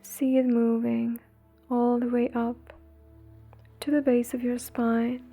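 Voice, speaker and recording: A woman leading a guided meditation in a soothing, breathy, gentle way, leaving long pauses between phrases. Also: fairly high pitch, level tone. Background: faint music.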